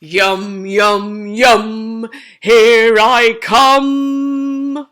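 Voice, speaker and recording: A woman voicing a greedy, boastful male wolf with roaring, drawn-out threats in a children's storybook. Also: a little high, level tone, a bit bright, pitched above the performer's own voice. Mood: greedy glee. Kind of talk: theatrical children's storybook reading.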